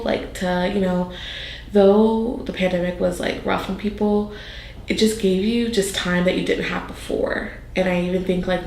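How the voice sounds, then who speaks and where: A woman's voice: mid-range voice, creaky, darkish tone, roomy sound, slight background noise, rough recording. A young woman in her twenties from South Carolina, answering interview questions in a chatty way with many fillers. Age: 20s.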